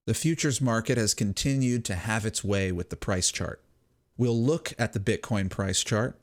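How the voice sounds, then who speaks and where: A hoarse man hosting a market analysis channel, steadily walking viewers through charts in a slightly scratchy voice. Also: fairly low pitch. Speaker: crypto analysis channel host. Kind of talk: screen-share market analysis talk.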